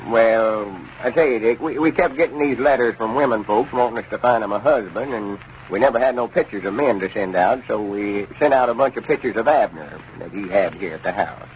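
An actor playing a folksy, self-satisfied older country schemer with a drawling rural Southern accent in a 1930s radio comedy. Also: male voice, on the low side, brisk, few pauses, lightly acted. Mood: insistent. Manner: drawling, rustic. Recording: muffled, hissy 1930s radio recording.

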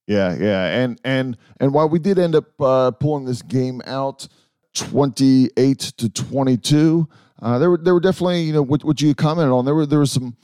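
A man with a mid-pitched, mellow voice that is a bit hoarse hosts a casual football podcast. He rambles and restarts often. The recording is dry. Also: dry recording. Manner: rambling, halting, with many restarts and fillers. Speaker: podcast host. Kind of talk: casual sports chat.

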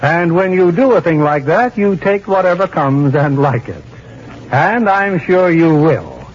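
High-pitched, husky 1940s radio host. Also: male voice, sing-song, a little slow. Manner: formal, showmanlike. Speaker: producer and host narrator. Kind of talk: 1940s radio scripted narration. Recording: muffled 1940s radio broadcast with music.

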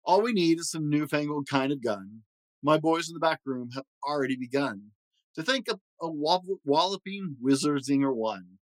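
A confident storybook leader, voiced by a man reading aloud, breezy and scheming. He reassures in emphatic rhyme, with a lightish pitch and a lot of melodic movement, close to the mic. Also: expressive, normal speed, dry recording, natural voice, poor audio. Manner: reassuring, emphatic rhyming speech.

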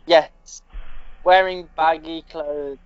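A man trades dry, teasing remarks and quick asides in a casual comedy chat. His voice is muffled and slightly dull in the recording. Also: fairly high pitch, fairly even intonation, no room sound. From Liverpool, England.

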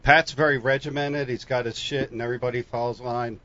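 A man's voice: mid-range voice, fairly even intonation, fairly quick, a bit bright. A man co-hosting a casual podcast, offhand and crude in his banter. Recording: dull lo-fi sound.

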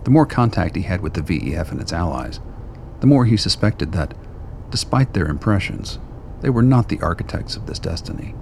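A man narrates a radio drama in a very low voice with a lot of gravel and fry in it. The delivery is scripted storytelling prose, with some background noise behind him. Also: big pitch swings, fairly quick, a little mellow.

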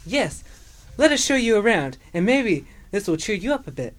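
A woman's voice: medium pitch, very animated, normal speed. A woman voicing a kindly, courteous male panda who guides and reassures in a children's audio story. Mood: welcoming. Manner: reassuring, polite, storybook-proper.

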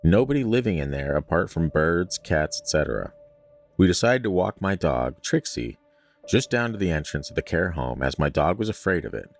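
A man's voice: sits low, sing-song, moderate pace, gravelly, faint music behind. A man hosting a podcast, chatting casually and reading stories aloud in an informal way with joking asides. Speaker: podcast host.

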